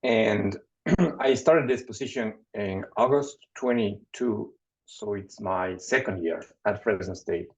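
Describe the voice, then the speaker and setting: A man from Ecuador, an assistant professor, introducing himself on a webinar panel, halting and often repeating his words over a video call. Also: lowish voice, a little mellow. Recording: dull lo-fi video call audio.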